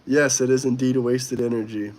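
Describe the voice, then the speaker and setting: A man's voice: mid-range voice, fairly even intonation, fairly quick, darkish tone. A young man hosting a live stream, casually and bluntly answering viewer comments while a little under the weather. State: slightly sick. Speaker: livestream host.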